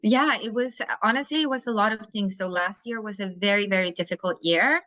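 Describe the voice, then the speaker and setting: A woman's voice: medium pitch, fairly quick, sharp tone, no echo. A woman from Chile speaking English with a Chilean Spanish accent, a life coach talking candidly and bluntly as a podcast guest. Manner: candid, frank and outspoken. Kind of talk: casual interview conversation.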